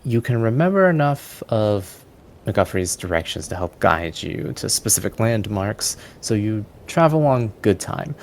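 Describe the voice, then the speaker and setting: Gravelly, low-voiced man narrating. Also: big pitch swings, unhurried. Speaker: game master. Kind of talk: storytelling narration of a tabletop game. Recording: faint background noise and rumble.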